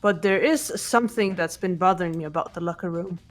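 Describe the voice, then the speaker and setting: Stoic teenage fighter girl for a visual novel game, played by a woman; measured, formal, faint music behind. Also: lowish voice, lightly acted. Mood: calm, serious. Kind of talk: fan dub of visual novel game dialogue.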